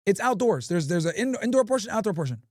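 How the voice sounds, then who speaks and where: A man's voice: sits high, fast talker, dry recording, studio quality. A male livestream host giving brash, profane commentary and telling stories with lots of swearing. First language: English. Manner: brash, profane, animated storytelling.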